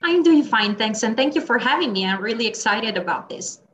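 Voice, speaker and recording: A woman's voice: fairly high pitch, expressive, a little fast, muffled recording. A young woman whose first language is Italian speaks with an Italian accent. She talks casually in a podcast interview, chatty, with many fillers and restarts, laughing often. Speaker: guest interpreter and translator.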